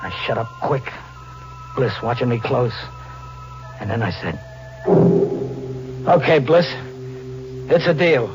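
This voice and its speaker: A wary male fugitive in a vintage radio crime drama. He talks in a terse, hard-boiled, slangy way at a middle pitch. The old recording is muffled. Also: lively intonation, slow pace, long pauses, over music. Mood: bitter, hard-boiled. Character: wary fugitive tough guy posing as a hired killer. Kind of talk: 1940s radio acting with first-person narration.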